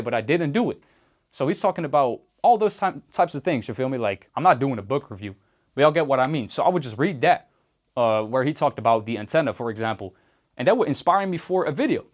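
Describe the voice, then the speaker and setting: A young Dutch man speaking English with a Dutch accent, rambling in a casual, slangy vlog to camera. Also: medium pitch. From Netherlands. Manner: rambling and laid-back, fillers, restarts, slangy. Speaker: vlogger.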